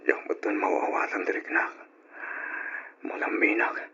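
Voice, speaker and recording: A man's voice: a little slow, pushed and bright, in a whisper. An actor playing an ailing, stern but loving old rural father in an Ilocano radio drama, weary and admonishing. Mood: ailing, pained. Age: older. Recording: dull lo-fi old radio recording.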